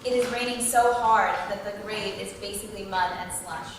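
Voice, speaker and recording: A woman's voice: medium pitch, fairly even intonation, moderate pace. A woman narrator with a mid-range voice reads out stage directions at a table read. She sounds far from the mic in a very echoey hall, with noticeable noise behind her. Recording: very echoey hall, distant, noisy.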